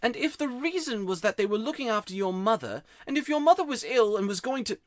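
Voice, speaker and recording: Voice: wildly swooping pitch, moderate pace, fairly bright tone. A storyteller voicing an upset young schoolboy who talks in a raised, pleading voice. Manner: pleading, worked up, raised pitch. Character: grubby, miserable schoolboy, tearful and excitable. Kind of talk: audiobook character voice.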